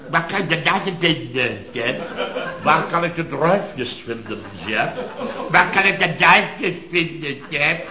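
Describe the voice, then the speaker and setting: An actor playing an exasperated boss of a contracting firm, grumbling and ranting sarcastically in an old radio comedy. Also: male voice, a little high, expressive, takes it slowly, crowd noise. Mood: sarcastic ranting. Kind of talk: 1970s Dutch radio comedy acting. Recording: dull archive radio recording, echoey, audience laughter.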